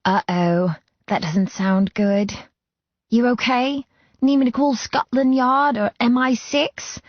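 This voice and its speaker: A woman's voice: on the high side, fairly even intonation, takes it slowly, no room sound, muffled recording, voice pushed up. A woman voicing a chatty, teasing young female friend in audiobook dialogue, exclamatory and playfully sarcastic.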